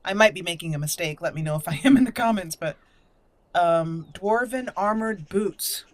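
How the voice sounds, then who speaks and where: A woman's voice: fairly low pitch, lively intonation, moderate pace. A woman giving casual gameplay commentary, thinking aloud and reading item names out as she goes. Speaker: gaming channel host. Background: video game music.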